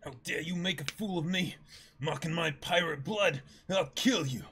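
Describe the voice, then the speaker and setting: A man's voice: fairly high pitch, moderate pace, bright, forceful tone, slight background noise, pitched above the performer's own voice. A man voicing an enraged, proud young pirate making threats in an amateur manga reading. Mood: furious.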